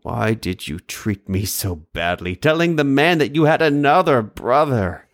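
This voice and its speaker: A man's voice: medium pitch, animated, a little slow, pitched above the performer's own voice. A man voicing a grieving elderly father who speaks in a sorrowful, reproachful way.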